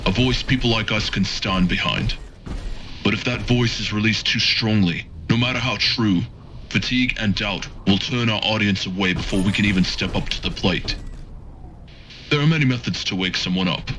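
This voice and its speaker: A man playing a calculating, measured rebel mentor in a video game, speaking deliberately and rhetorically. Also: on the low side, sharp tone, slight background noise. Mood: grave, persuasive. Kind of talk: cinematic video game dialogue.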